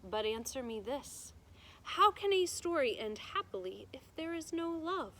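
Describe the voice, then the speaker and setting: A woman voicing a stern old storybook grandmother who speaks in a firm, pointed, measured manner. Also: high voice, very animated, a bit bright, voice pushed up, exaggerated voice. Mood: probing. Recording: noticeable background noise.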